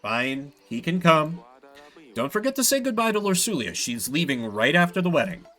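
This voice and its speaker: A man playing a weary, loving king and father in a video game, gentle and reassuring. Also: on the high side, big pitch swings, moderate pace, faint music behind, pitched above the performer's own voice. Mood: fond.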